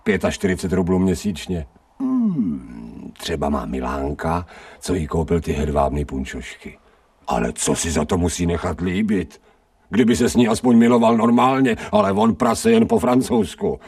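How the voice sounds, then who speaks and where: An actor playing a scrawny, streetwise stray dog in a radio drama, grumbling in colloquial speech with sardonic self-pity. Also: male voice, low-pitched, very animated, gravelly, darkish tone, breathy edge, far from the mic, exaggerated voice. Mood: wry, gruff. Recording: 1990 radio drama, off-mic.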